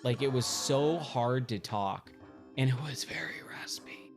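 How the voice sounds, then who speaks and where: A hoarse man chatting casually while he streams himself playing pinball, thinking aloud and getting sidetracked mid-sentence. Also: medium pitch, unhurried. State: hoarse, scratchy voice. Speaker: game streamer. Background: game music.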